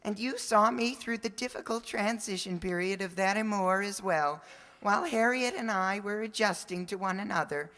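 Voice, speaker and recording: An earnest high school boy , acted by a woman, gushes with naive sincerity in the manner of old-time radio comedy. The voice rings out in a reverberant hall. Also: normal speed, fairly bright tone, close to an everyday voice. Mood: gushing.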